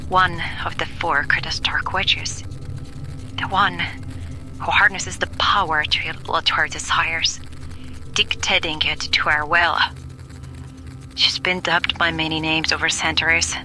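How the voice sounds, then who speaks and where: A woman's voice: deep voice, pushed and bright, full character voice. An actress playing a frightened 1940s woman doctor who records a grave, urgent warning in an audio drama, often dropping into whispers. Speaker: expedition demonologist. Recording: noisy old reel-to-reel tape recording.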